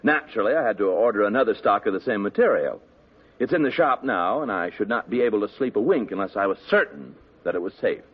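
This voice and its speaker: An actor playing a fussy, pompous tailor who talks down to the detective in a haughty, condescending way, in a 1950s radio drama. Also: male voice, fairly low pitch, lively intonation, fast talker, husky. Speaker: custom tailor and client. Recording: dull old radio broadcast.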